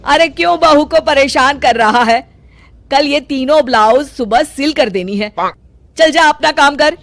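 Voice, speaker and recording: A woman's voice: high-pitched, a little slow, a bit bright, no room sound, pitched above the performer's own voice. A woman voicing a bossy, fretful middle-aged mother-in-law with a commanding manner in a cartoon story. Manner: commanding, scolding.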